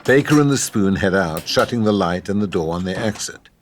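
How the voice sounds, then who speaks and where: A man's voice: deep voice, animated, a little fast, very noisy. A man reading scripted stage directions as the narrator of a table read.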